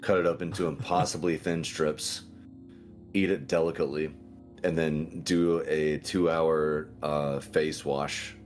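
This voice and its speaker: Very deep-voiced man, joking banter. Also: flat delivery, unhurried, faint music behind. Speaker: tabletop roleplay player. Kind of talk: joking game table banter.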